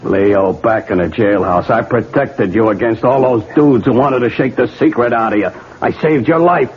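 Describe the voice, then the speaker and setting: A man's voice: medium pitch, moderate pace, breathy edge, lightly acted. A man playing a menacing ex-con crook. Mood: desperate threatening. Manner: coaxing, threatening, street slang. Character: menacing male ex-convict crook, wheedling then violent. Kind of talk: 1970s radio drama acting. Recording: tinny lo-fi old radio broadcast.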